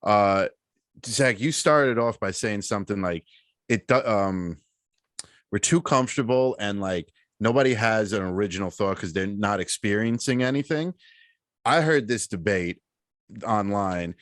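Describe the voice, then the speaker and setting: A man co-hosting a podcast, telling things in a lively way with slang and swearing. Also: lowish voice, a little slow, dry recording, studio quality. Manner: animated storytelling with slang and swearing. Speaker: podcast co-host. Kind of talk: casual podcast chat.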